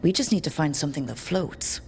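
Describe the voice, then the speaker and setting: An actress playing a wry, encouraging young leader of a band of heroes in a video game. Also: female voice, low voice, expressive, brisk, vocal fry, darkish tone, slight background noise. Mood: resolute. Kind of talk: video game cutscene acting.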